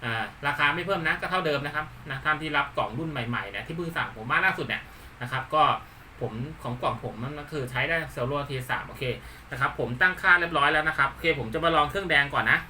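A man's voice: fairly high pitch, lively intonation, fast talker, sharp tone. A man from Thailand giving a casual hands-on demonstration as a channel host, talking informally and repeating himself with frequent polite particles. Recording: distant mic with faint background noise.

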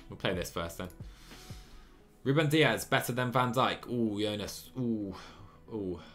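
A young man in his twenties streaming a cycling management game from a small room on a headset, chatty and excitable, laughing often while reading chat. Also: fairly low pitch, unhurried, soft background music. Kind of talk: live gameplay commentary and chat reading.

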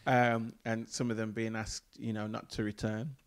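A man in his thirties, a church leader, talking in a relaxed conversational way with frequent ums and easy laughs, on a handheld mic in a small room. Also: lowish voice, moderate pace. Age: 30s. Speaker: church leader, mission coordinator. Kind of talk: casual conversation.

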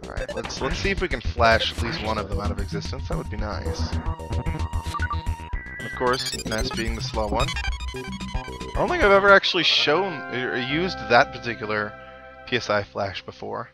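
A man's voice: medium pitch, very animated, moderate pace. A man giving casual video game commentary as a let's play host, rambling and thinking aloud with mild exclamations. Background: video game music.

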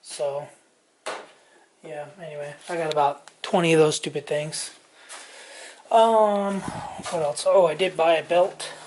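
A man, casually thinking aloud lots of ums and soft muttering while he tests wiring outdoors. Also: fairly high pitch, steady tone, slow, long pauses, slight background noise, rough recording. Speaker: vlogger. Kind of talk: casual hands-on narration while testing a tractor's wiring. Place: outdoor yard and garage workshop.

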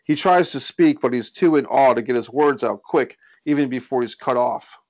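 A man's voice: mid-range voice, moderate pace, dull audio. A man reading stage directions as scripted narration at a screenplay table read.

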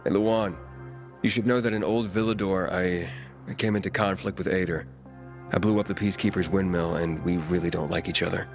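Deep-voiced, guarded wanderer hero. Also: male voice, steady tone, brisk, faint music behind. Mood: sceptical. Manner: terse, questioning, serious. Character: earnest, guarded male wanderer, sceptical young loner hero. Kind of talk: video game dialogue acting.

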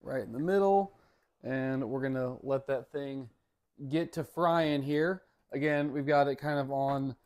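American guy in his 30s, mid-pitched voice, chatting thru a casual product demo, upbeat n folksy. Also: a little mellow. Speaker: product reviewer. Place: home dining room and kitchen.

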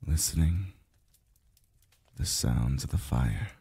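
A man playing a calm, devoted male lover in an intimate ASMR roleplay, murmuring softly and slowly, close to the mic. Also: dry recording, heavily acted. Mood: loving, grateful. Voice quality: very deep, breathy, gravelly. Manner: slow, soft murmuring with sighs. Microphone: close mic, dry sound.

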